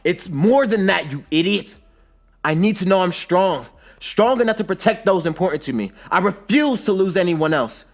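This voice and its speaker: A man voicing a proud, stubborn young ninja rival with a curt, forceful, angry delivery in an anime-style story. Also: medium pitch, sing-song, unhurried, husky, voice pushed up, exaggerated voice. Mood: angry defiance.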